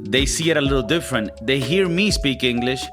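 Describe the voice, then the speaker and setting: A man's voice: mid-range voice, expressive, brisk, music in the background. A man in his thirties with a Spanish accent, co-hosting a casual podcast, rambling, swearing and stumbling over restarts. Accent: Spanish-speaking. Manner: rambling, stammering restarts, swearing, mimics other people. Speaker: podcast co-host. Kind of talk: casual chat.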